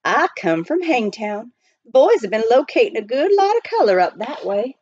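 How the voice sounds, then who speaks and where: A friendly, excitable gold miner , played by a woman reading a storybook, talks in rustic frontier dialect. The voice rides high and swoops freely. The recording is dry and a little dull. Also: normal speed, no room sound. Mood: chatty. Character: friendly rough-hewn young gold miner, folksy and excitable. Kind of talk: children's storybook read aloud.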